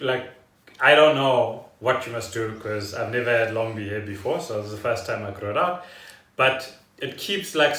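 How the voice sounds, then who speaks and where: Roomy, bright-voiced man chatting. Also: mid-range voice. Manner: rambling, explanatory storytelling. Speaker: podcast co-host. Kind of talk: casual chat. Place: living room at home. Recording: roomy echo, distant from the mic.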